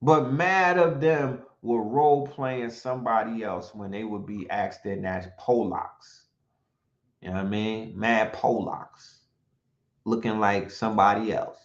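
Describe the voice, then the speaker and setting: A man's voice: mid-range voice, a little mellow. An emphatic man delivers a monologue, hammering his points with rhetorical questions and now and then reading from notes. His voice sits in an echoey room, and the recording sounds dull and lo-fi. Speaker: commentator.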